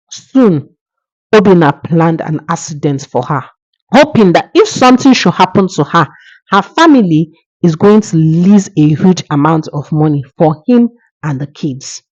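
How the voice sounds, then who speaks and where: A woman's voice: sits low, darkish tone, husky, lo-fi sound. A woman telling a folktale in an emphatic, moralizing manner. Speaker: storyteller. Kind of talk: oral folktale narration with moral lesson.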